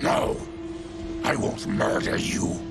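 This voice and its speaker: An actor playing a noble, stern mutant warrior with a growling voice and terse, solemn delivery in a video game. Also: male voice, sits low, wildly swooping pitch, slow pace, heavily acted. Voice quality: gravelly, growling. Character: noble, gruff, monstrous mutant warrior, stern but merciful. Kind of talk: video game cutscene acting. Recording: loud score behind dialogue. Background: dramatic music.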